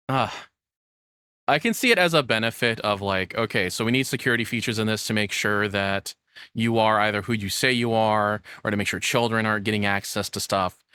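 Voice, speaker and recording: A man's voice: fairly low pitch, sharp tone, clear, resonant tone, no echo, studio quality. A man livestreaming in a casual, rambling, self-deprecating way, sounding a little nervous as he chats and reacts. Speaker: streamer. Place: hot home room.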